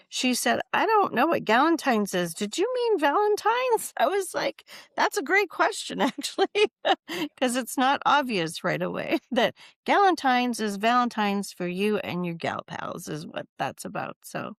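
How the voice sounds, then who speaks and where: A woman's voice: on the high side, sing-song, measured pace, vocal fry, no echo, clean studio sound. A woman co-hosting a podcast, chatting in an upbeat way and laughing often. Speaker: podcast co-host. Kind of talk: casual chat. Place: Midwest.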